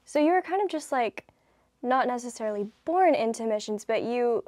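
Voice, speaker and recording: A woman's voice: a little high, animated, moderate pace, a little mellow, dry recording. A woman hosting a podcast, asking questions in a friendly casual way. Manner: friendly, asks questions with fillers like "kind of". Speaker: podcast host. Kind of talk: casual interview chat.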